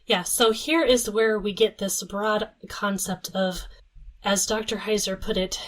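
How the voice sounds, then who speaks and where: A woman's voice: medium pitch, low-quality recording. A woman hosting a podcast, explaining theological terms patiently, step by step, in casual talk. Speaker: podcast host.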